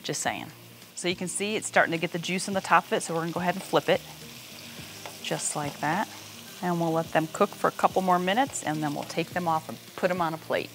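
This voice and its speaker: A woman's voice: on the low side, fast, a bit bright, soft background music. A middle-aged woman hosting a casual cooking demonstration in a plainspoken, folksy way, recorded in a home kitchen. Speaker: cooking host.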